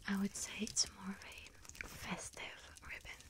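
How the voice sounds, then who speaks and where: A woman doing a whispered ASMR role-play as a friendly young bakery witch, speaking softly and gently with a breathy, hesitant delivery. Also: measured pace. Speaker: ASMR performer role-playing a bakery shop helper.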